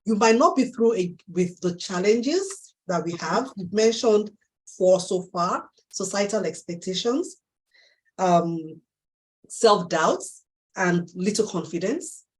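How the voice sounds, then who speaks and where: Woman, Nigerian accent, host, animated, affirming, laughs often, video call. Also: medium pitch, slow, poor audio. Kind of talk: live interview chat.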